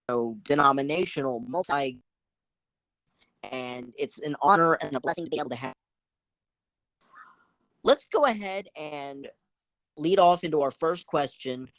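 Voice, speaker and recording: Woman, podcast host, deep voice, lively melody, dry close sound. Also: dry recording, low-quality recording. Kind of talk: interview hosting.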